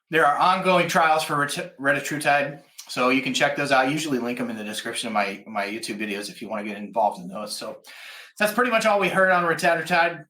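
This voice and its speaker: A man's voice: a little high, bright, forceful tone. A man hosting a live stream, giving enthusiastic, conversational news commentary and explanations in a casual style. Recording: echoey, roomy sound.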